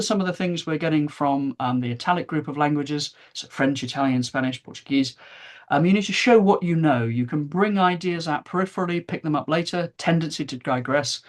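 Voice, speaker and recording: A woman giving an academic presentation, explaining discursively and stressing key phrases. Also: low-pitched. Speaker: university lecturer presenting.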